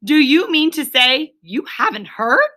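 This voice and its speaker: A woman reading a storybook, voicing a stern, exasperated male water rat who scolds with emphatic, drawn-out key words. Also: high voice, sing-song, bright, forceful tone, pitched above the performer's own voice, exaggerated voice. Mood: agitated.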